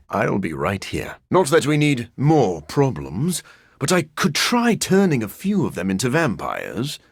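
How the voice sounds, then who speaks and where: An actor playing a brooding, erudite vampire scientist in a video game, raspy and gravelly, with formal, precise, deadpan phrasing and a dry sardonic streak. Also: male voice, on the low side, no room sound, heavily acted. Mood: dry, wry, then musing, wry. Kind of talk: video game character voice lines.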